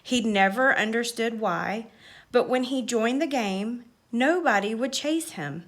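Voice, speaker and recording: A woman reading a children's story aloud with expressive, measured, dramatic narration. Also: a little high.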